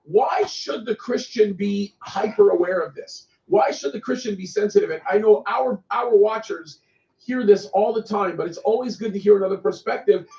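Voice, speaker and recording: High, gravelly-voiced male host. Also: a little mellow. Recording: echoey, distant, dull lo-fi sound.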